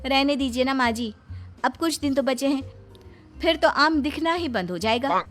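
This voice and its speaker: Woman acting a high-pitched cheeky young daughter-in-law. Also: moderate pace, fairly bright tone, faint music behind. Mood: pert. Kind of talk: Hindi cartoon story dubbing.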